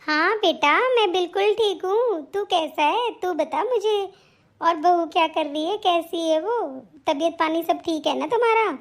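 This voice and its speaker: A woman's voice: very high-pitched, a little slow. A woman voicing a long-suffering elderly mother-in-law in a family cartoon drama, meek and complaining. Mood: gentle, reassuring. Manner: plaintive, complaining, placating.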